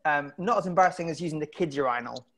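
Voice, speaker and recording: The halting, self-deprecating British man in his thirties you would hear testing jokes from his living room at an online comedy night. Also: on the high side, fairly bright tone. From Britain. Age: 30s. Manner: halting, self-deprecating, often chuckling at his own lines. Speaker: stand-up comedian. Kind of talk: stand-up comedy set over video call. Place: indoor room at home. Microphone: wired earphones on a video call.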